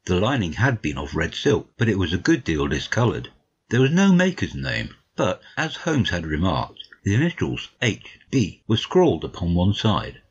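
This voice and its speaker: Modest gentleman storyteller , played by a man: deep, husky, measured and courteous, audiobook on slightly muffled audio. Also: huge pitch swings, heavily acted. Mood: measured, wry. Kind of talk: dramatic audiobook reading, storytelling.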